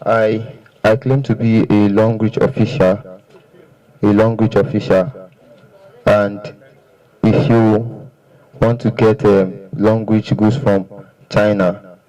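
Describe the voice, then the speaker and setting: A man giving a halting confession as a suspect, repeating phrases. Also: fairly low pitch, flat delivery, dark tone, rough recording. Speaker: paraded fraud suspect.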